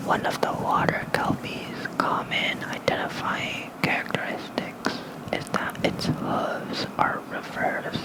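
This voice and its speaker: A hushed, breathy whisper reads bedtime lore in an ASMR style. The delivery is slow and gentle, spoken softly and close to a fluffy microphone. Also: rough recording. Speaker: ASMR creator reading aloud. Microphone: close microphone with fluffy windscreen.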